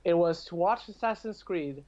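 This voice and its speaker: A man from Portugal chatting casually about films, telling stories in an animated, emphatic way as a podcast guest. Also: high-pitched, moderate pace, lo-fi sound. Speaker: podcast guest and film reviewer. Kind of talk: casual film chat.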